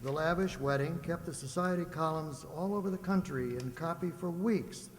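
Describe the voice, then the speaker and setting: A man's voice: a little high, normal speed. A man narrating a scripted old-time radio comedy as a theatrical announcer. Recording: echoey hall with faint noise.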